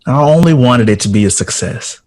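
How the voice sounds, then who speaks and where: An actor playing a gentle, witty jazz composer in a period audio drama, soft-spoken and genteel with a playful turn of phrase. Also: male voice, medium pitch, lively intonation, brisk, natural voice. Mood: gentle, modest. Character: gentle, witty, urbane male jazz composer, around fifty.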